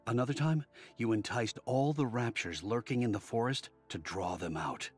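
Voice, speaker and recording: A man's voice: medium pitch, moderate pace, slightly rough, faint music behind, close to an everyday voice. An actor voicing an earnest, admiring but cautious man in a video game, talking like an articulate storyteller. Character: earnest, admiring, cautious male fan of war heroes.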